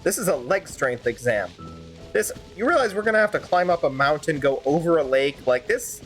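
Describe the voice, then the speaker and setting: A woman's voice: deep voice, lively intonation, moderate pace, faint music behind. A woman streamer improvising fan-dub commentary over a game, sarcastic and rambling.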